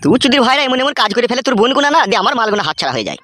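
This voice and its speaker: A man's voice: very high-pitched, very animated, racing, sharp tone, exaggerated voice. A man voicing a bossy, sulky, lecherous gangster dog in a comic cartoon, grumbling coarsely and swearing. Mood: scheming, annoyed.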